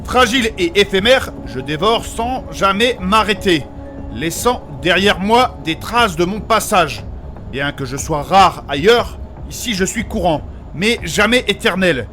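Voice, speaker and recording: A man's voice: sits high, lively intonation, a little slow, sharp tone, faint music behind, exaggerated voice. A man playing a fussy, learned, courteous fantasy adventurer who speaks with formal, precious, old-fashioned diction in a comic audio drama. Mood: solemn.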